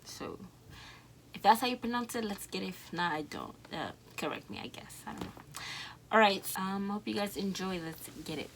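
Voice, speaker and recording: A woman's voice: mid-range voice, sing-song, normal speed, creaky, a bit bright, slight background noise, rough recording. A young woman with a Dominican accent, chatting casually to her viewers as a reaction host, rambling with fillers and restarts.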